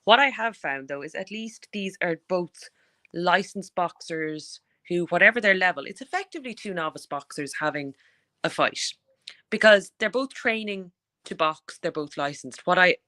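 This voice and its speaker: A woman's voice: on the low side, lively intonation, normal speed, bright, forceful tone. A woman on a sports panel giving her opinions emphatically, arguing at length in long run-on sentences.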